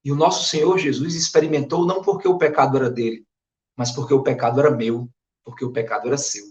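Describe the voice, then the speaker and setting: Hoarse Brazilian Northeastern pastor, echoey room. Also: male voice, medium pitch, fairly even intonation, fast talker, darkish tone. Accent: Brazilian Portuguese, Northeast. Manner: earnest, emphatic preaching with rising stresses. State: hoarse, recovering from flu. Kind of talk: expository Bible sermon.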